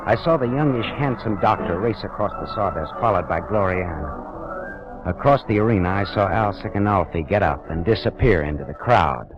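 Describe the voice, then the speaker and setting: An actor playing a hard-boiled private detective who narrates in terse, dry first-person lines in a 1940s radio drama. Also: male voice, low-pitched, expressive, moderate pace. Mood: tense. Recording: dull vintage radio recording with music.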